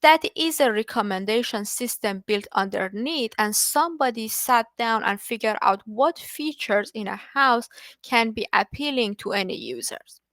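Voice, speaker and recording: A woman's voice: mid-range voice, fairly bright tone, no room sound. A woman whose first language is Persian, presenting an online technical lecture in an explanatory way, with frequent restarts and filler words. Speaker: study group presenter.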